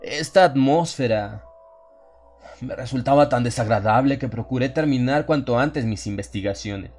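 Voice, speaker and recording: Male narrator, medium pitch, solemn dramatic horror storytelling, measured delivery, faint background music. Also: a little mellow. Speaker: audiobook narrator.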